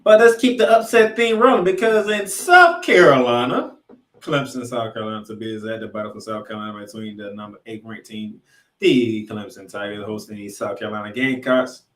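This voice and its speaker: A man hosting a sports podcast, recapping games in an animated, emphatic showman's delivery with dramatic announcements. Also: on the low side, a little fast. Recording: echoey room, distant from mic.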